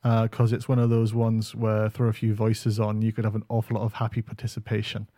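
A man's voice: lowish voice, monotone, fairly quick, warm tone, dry recording, clean studio sound. A man co-hosting a podcast, chatting casually about games, with many ums and uhs. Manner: rambling, lots of ums and uhs. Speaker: podcast co-host. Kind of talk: casual gaming chat. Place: home setup on camera.

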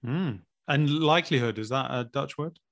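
A man's voice: medium pitch, moderate pace, fairly bright tone. A man co-hosting a casual podcast, agreeable and brief in his replies. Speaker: co-host and English teacher. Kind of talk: casual chat.

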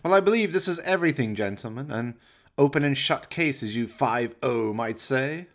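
Radio play villain: pompous middle-aged evil doctor, raised pitch, savouring each word theatrically. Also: male voice, expressive, moderate pace, fairly bright tone. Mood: smug, professional. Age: 50s. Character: sinister, gloating middle-aged mad scientist doctor.

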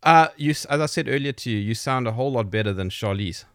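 A man's voice: mid-range voice, expressive, fast, a bit bright, smooth, dry recording, clean studio sound. A man with a South African accent, speaking as the host of a conversational interview show.

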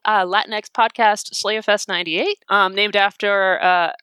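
A woman's voice: mid-range voice, steady tone, unhurried, pushed and bright, clear, resonant tone, dry recording. A woman with a Boston accent hosting a podcast in casual chat, joking and laughing often. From Boston, USA. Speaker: podcast host.

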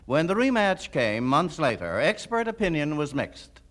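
A man's voice: fairly high pitch, big pitch swings, moderate pace, noisy background. A man reading scripted narration as the narrator of a documentary.

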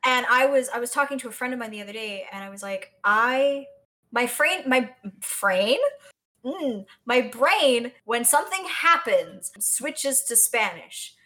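An American woman from South Florida chatting casually as a podcast co-host, telling stories in an animated way. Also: a little high, unhurried. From South Florida, United States. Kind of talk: casual conversational chat.

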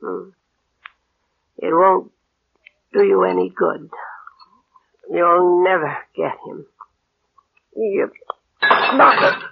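A greedy, mean old aunt in an old radio play. She needles and demands in a slow, creaky voice. The recording is thin and tinny. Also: fairly low pitch, full character voice. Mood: taunting, mean. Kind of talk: 1940s-50s American radio suspense acting.

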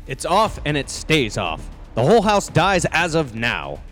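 Exasperated dad in old-time radio drama. Emphatic, animated, higher register, faint music underneath. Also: male voice, a little slow, full character voice. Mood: stern. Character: stern, exasperated father of two, increasingly frantic.

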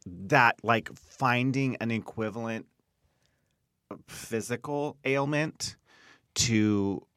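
A man's voice: mid-range voice, very slow, a bit bright, clean tone, no room sound, studio quality. A man in his late thirties talking candidly on a podcast, speaking emphatically, with repetition and casual swearing. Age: late 30s. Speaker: podcast host. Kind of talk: candid personal conversation.

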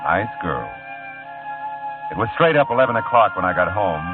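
A wry, laid-back private eye. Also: male voice, lowish voice, sing-song, moderate pace. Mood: wry narration. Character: easygoing, wisecracking male private detective. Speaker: detective and narrator. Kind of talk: 1940s radio acting. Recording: tinny old radio broadcast with loud music.

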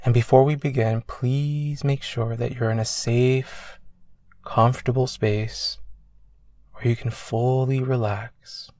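A man leading a guided meditation as a coach, speaking slowly and soothingly with long pauses. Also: mid-range voice, fairly even intonation, dry recording. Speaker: meditation coach guiding a session. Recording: loud ambient meditation music behind. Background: ambient new-age music with singing bowls.

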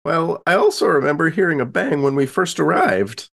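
A woman voicing a spirited old lady sleuth with a rough, creaky voice, in an improvised tabletop game. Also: sits low, lively intonation, moderate pace, a bit bright, heavily acted. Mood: shaken.

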